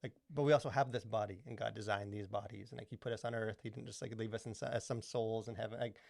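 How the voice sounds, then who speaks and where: A man's voice: fairly low pitch, steady tone, quick pace, vocal fry, a little mellow, dry recording, studio quality. A man co-hosting a podcast in casual discussion, rambling along with lots of "like" and "you know". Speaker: podcast co-host. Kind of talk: casual discussion of culture and faith.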